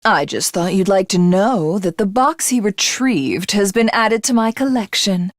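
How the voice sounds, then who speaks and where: A woman's voice: medium pitch, expressive, no room sound, studio quality, close to an everyday voice. Woman acting haughty alien villainess, widely swooping voice. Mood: smug, menacing. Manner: imperious, grandiose, taunting, drawn-out delivery. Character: haughty, ruthless alien villainess craving godhood. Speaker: video game voice actress. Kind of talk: theatrical video game villain acting.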